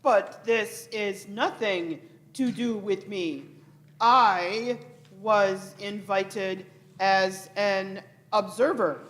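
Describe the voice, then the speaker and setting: Performer doing alien delegate, aloof, suspicious, extremely slow drawn-out words, disdainful, sci-fi radio play. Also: mid-range voice, a bit bright. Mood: accusing.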